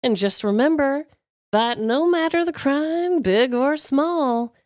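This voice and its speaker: A woman's voice: sits high, animated, slow pace, darkish tone, dry recording. Woman cohosting a podcast, giggly and bouncy, voice swoops up and down, dry close mic. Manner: giggly, upbeat sign-off patter. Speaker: podcast co-host. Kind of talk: casual podcast chat.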